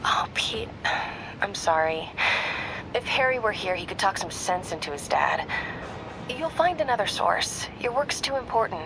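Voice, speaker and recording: Brief: animated young reporter, voiced by a woman, swinging pitch, warm teasing, game music behind. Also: normal speed, background noise, lightly acted. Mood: consoling. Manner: teasing, supportive. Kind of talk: video game dialogue.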